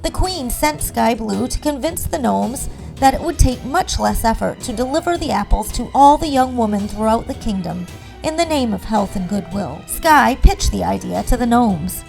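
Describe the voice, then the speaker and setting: Woman telling a fairy tale off a script, slightly high voice, creaky, faint music behind. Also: animated, normal speed, darkish tone, low-quality recording. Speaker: storyteller.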